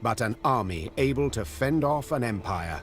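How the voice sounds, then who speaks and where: A man playing a solemn chronicler, narrating a fantasy video game in a grave, deliberate storybook manner. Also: medium pitch, expressive, faint music behind, lightly acted. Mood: solemn, epic. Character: solemn male chronicler of a war saga.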